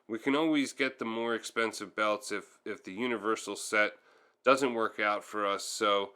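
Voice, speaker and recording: A man's voice: medium pitch, sharp tone, smooth. A man giving casual, hands-on how-to narration as a tutorial host, thinking aloud a little hesitantly as he goes.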